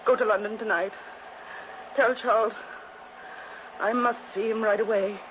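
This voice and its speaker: A woman's voice: fairly high pitch, fairly even intonation, unhurried, close to an everyday voice. A dying noblewoman acted by a woman who commands and pleads with gothic fearfulness. The delivery is theatrical vintage radio drama, on a muffled, noisy old recording. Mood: urgent pleading. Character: dying, fearful, domineering twin sister, Lady Madeline. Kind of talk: 1950s radio drama acting.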